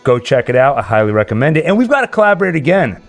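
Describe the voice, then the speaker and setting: Male live-stream host, medium pitch, conversational and keen, faint music underneath. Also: big pitch swings, very fast, gravelly. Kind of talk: casual chat about horror films, reading viewer comments. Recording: music playing behind the voice.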